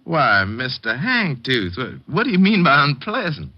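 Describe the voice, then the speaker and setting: An actor playing a sneering, slangy racketeer boss with a cowardly streak, in old-time radio crime drama style. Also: male voice, medium pitch, huge pitch swings, normal speed, dry recording. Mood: sly. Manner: sardonic, slangy gangster talk. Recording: muffled, dry vintage radio recording.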